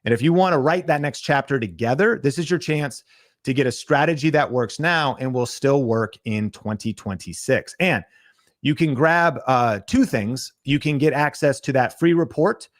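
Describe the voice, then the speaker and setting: A podcast host giving an energetic sales pitch on a livestream, talking straight to his viewers. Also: normal speed, dry recording, clean studio sound.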